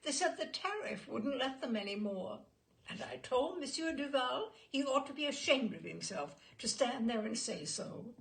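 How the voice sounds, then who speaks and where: Woman acting a high-voiced, insistent, chatty wife. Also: animated, normal speed, vocal fry. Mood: indignant. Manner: rambling, insistent, self-assured. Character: chatty, unflappable wife, triumphant and self-justifying. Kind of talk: literary story reading.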